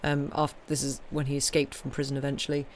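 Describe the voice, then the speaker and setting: A woman's voice: a bass voice, completely flat delivery, quick pace, slight background noise. A woman chatting casually to live stream viewers as host, informal and hesitant as she thinks aloud.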